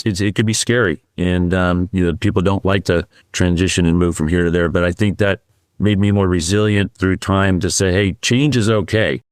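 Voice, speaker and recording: A middle-aged man, a podcast guest, telling his story in a relaxed way, with many fillers and restarts. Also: fairly low pitch, no room sound, clean studio sound. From United States. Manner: warm, reflective, with frequent fillers and restarts. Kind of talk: casual interview storytelling.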